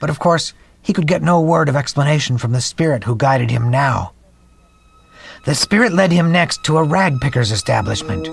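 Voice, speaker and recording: A man's voice: on the high side, lively intonation, normal speed, gravelly, husky, dry recording, full character voice. A man voicing an earnest storyteller creature, proud of his art, narrating a radio play dramatically over music. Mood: dramatic, hushed. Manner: theatrical, dramatic, patient narrator. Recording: music under the voice.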